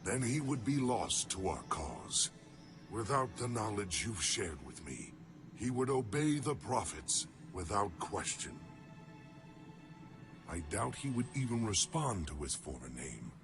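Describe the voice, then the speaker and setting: A man's voice: lowish voice, sing-song. A man voicing a loyal alien warrior in a game cutscene, solemn, formal and resolute yet mournful. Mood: solemn resolve. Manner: solemn, formal, measured phrasing. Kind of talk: dramatic game cutscene acting. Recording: loud music underneath.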